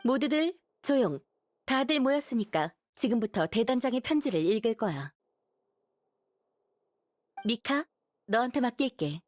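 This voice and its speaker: A woman's voice: a little high, very animated, measured pace, long pauses, soft background music. A woman playing a composed, authoritative leader of knights in a game, with a commanding manner. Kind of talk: scripted game dialogue.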